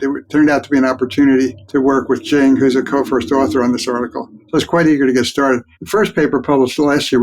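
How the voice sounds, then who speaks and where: Older man, medium pitch, physician researcher, measured explanatory interview answers. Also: a little mellow, low-quality recording. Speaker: guest researcher, physician and emeritus professor.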